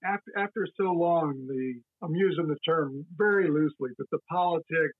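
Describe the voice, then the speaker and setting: A man with a husky, fairly high voice talks in a measured way and restarts his phrases as he thinks. His audio is thin and phone-like. The sound is dry and close. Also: no echo. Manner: measured, repeats words as he thinks. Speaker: podcast guest. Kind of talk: casual podcast chat.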